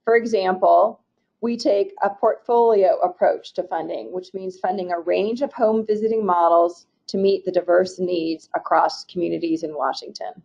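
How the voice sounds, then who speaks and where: A woman from a state agency presenting slides in a webinar, reading through program goals in a steady, formal way with occasional restarts. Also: on the low side, moderate pace, vocal fry. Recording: muffled, dull webinar audio.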